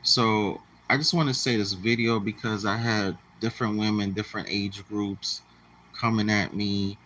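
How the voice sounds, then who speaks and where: Man in his late forties, blunt lecturing monologue, lowish voice, bright forceful tone. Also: steady tone, moderate pace, rough recording. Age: 40s. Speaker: channel host. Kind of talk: casual monologue to the camera.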